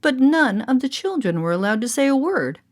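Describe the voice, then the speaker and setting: A woman storyteller narrating a storybook with expressive, deliberate phrasing. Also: high voice. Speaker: storyteller and voice artist. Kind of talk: scripted storybook narration for all ages. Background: music.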